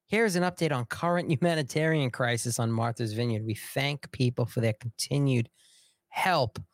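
A man's voice: mid-range voice, no echo. A man from Long Island with a New York accent, giving opinionated commentary as a host in a sarcastic, theatrical, mocking way. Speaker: host of a political commentary show. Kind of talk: opinionated news commentary, partly reading aloud.

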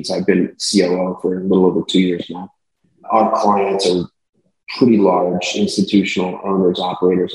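A businesslike man with a deep, low voice, talking his way through explanations as an interview guest. His voice carries a roomy echo around it. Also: a little mellow. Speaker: company executive, guest. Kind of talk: casual interview answers.

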